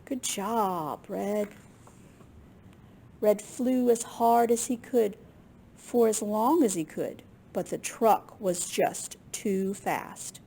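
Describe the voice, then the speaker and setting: A woman with a slightly husky, gravelly voice reading a picture book aloud to young children in an expressive way. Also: medium pitch, slow. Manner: expressive, with gasps and questions to young listeners. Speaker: children's librarian storyteller.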